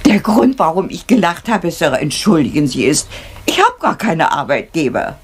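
Expressive, humble cook, lively pitch. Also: female voice, normal speed, background noise. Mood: friendly, amused. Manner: deferential, artless, expressive. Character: humble, excitable English female cook, respectful and naive. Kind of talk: German radio crime drama acting.

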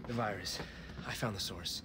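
Actor as a nervous, lowish-voiced male tech whiz. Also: normal speed, natural voice. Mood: guilty, defensive. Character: anxious, defensive male computer whiz on a covert team. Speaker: tech specialist. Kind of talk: dramatic video game acting. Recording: loud noise behind voice.

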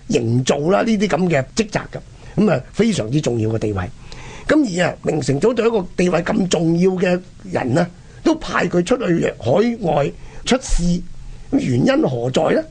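A man's voice: fairly high pitch, big pitch swings, moderate pace, gravelly, darkish tone, husky. A man with a Hong Kong accent hosting a history programme, telling stories colloquially and posing rhetorical questions. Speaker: radio history programme host. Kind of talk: animated historical storytelling.

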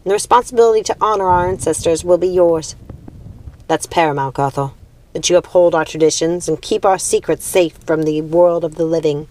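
A woman's voice: on the low side, a little fast, a little mellow, natural voice, low-quality recording. A woman voicing a cruel, proud witch queen mother in a commanding, theatrical manner for a storybook. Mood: intense, possessive.

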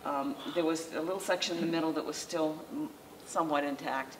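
An older woman talks a small audience through her slides in a low, unhurried voice, stopping often to restart her sentences. She is picked up from a distance by a camera mic. Faint room noise sits under her words. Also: fairly even intonation. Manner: conversational, halting with frequent restarts and fillers. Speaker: local historical society president giving a lecture. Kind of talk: informal slideshow lecture. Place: library meeting room, indoors, small audience. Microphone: far camera, masked speaker.

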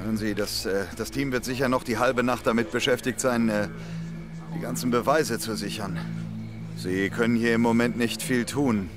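A man's voice: medium pitch, fairly even intonation, normal speed, music in the background, natural voice. A man playing a laconic small-town deputy.

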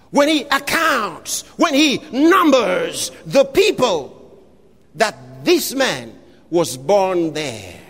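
A man preaching an impassioned sermon, shouting scripture with long drawn-out phrases. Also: extremely high pitch, big-room sound.

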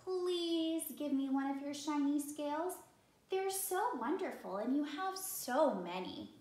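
A woman voicing a small, friendly blue fish in a storybook, pleading and playful, with drawn-out words. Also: high-pitched, animated, a little mellow, pitched above the performer's own voice. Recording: roomy, distant microphone.

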